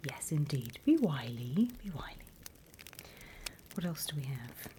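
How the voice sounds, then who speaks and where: A British woman performing an ASMR roleplay as a class instructor, mostly whispering in a breathy, gentle, conspiratorial way. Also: sits low, big pitch swings, unhurried, background noise. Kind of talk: ASMR roleplay, whispered and soft-spoken lesson.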